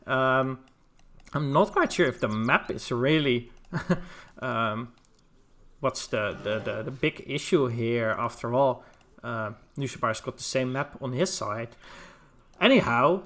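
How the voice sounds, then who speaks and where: A man's voice: fairly high pitch, fairly bright tone. Dutch man casting a strategy game. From Netherlands. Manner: many fillers and restarts, chats with the live chat. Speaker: esports caster. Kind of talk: solo play-by-play game commentary.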